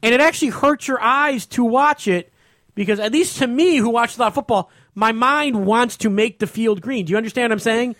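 A man's voice: very high voice, a little fast, fairly bright tone, no room sound. An American man on a casual sports talk show, ranting in an exasperated, emphatic way and repeating himself. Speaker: podcast host.

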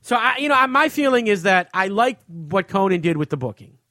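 High-pitched, blunt man chatting casually. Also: sing-song, fairly quick, bright, forceful tone, dry recording, studio quality. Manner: blunt, profane. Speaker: podcast host. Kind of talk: casual opinionated entertainment chat.

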